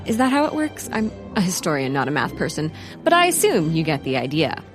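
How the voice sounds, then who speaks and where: A woman's voice: deep voice, wildly swooping pitch, a little fast, over music. A woman playing a pedantic, digressive historian who narrates a comic audio drama in a matter-of-fact manner. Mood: pedantic, wry.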